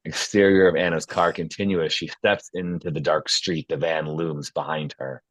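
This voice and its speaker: A man's voice: rumbling low, little pitch movement, normal speed, no echo. A man reading scene headings and action as scripted narration at a screenplay table read. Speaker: stage directions reader.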